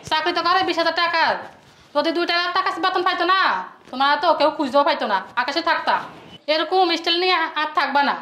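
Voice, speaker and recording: A woman acting a nagging sister-in-law in a family drama, very high in pitch and quick-tongued. Her Bangladeshi speech is sarcastic and full of complaint. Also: bright, forceful tone. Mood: sarcastic, scolding. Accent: colloquial Bangladeshi Bengali. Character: shrill nagging sister-in-law, quarrelsome wife.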